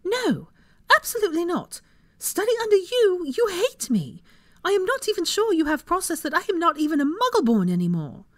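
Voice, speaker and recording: A fiery young witch, as a female narrator plays her in a storybook reading. She speaks high and emphatically, exasperated and quick to take offence, in a close, dry sound. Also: sing-song, no room sound. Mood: angry, indignant. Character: indignant, hot-tempered young witch.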